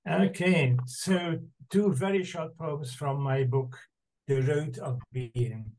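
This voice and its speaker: An older man reading poetry aloud in a deliberate, husky voice on a video call. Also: a little high, darkish tone, poor audio. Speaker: poet. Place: book-lined room indoors. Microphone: video call, close to computer.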